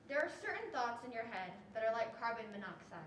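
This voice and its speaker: A young woman playing a measured therapist guiding a patient in a reader's theatre performance. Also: fairly high pitch, very animated. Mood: measured, instructive. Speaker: student performer. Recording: echoey room, distant mic, faint noise.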